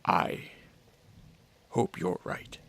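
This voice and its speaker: Slow, weary ancient vampire. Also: male voice, fairly bright tone. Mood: melancholy, wistful. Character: weary, melancholy centuries-old male vampire. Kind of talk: radio drama acting. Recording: music behind the voice.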